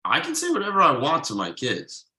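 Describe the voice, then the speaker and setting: A man in a script table read playing a defensive, evasive husband and father, conversational and a little halting. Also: fairly high pitch, huge pitch swings, bright, forceful tone, heavily acted. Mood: defensive, exasperated. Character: defensive, evasive middle-class husband and father writing ad copy.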